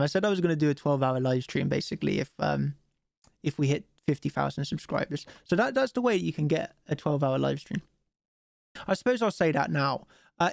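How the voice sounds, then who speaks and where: A man's voice: fairly high pitch, creaky, darkish tone, dry recording, studio quality. A man with a cold and a stuffy nose, chatting casually while hosting a live trading stream and asking viewers for likes. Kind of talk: live-stream chart commentary.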